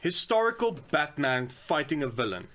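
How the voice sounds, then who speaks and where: A man's voice: on the high side, big pitch swings, moderate pace, bright, forceful tone. Blunt, sweary man chatting casually. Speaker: podcast co-host. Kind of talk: casual chat about films and games.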